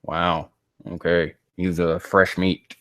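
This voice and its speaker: A man's voice: deep voice, flat delivery, takes it slowly, fairly bright tone, no room sound, studio quality. A man casually reading aloud and commenting on a live stream, rambling, swearing and sounding exasperated as he mocks the writing.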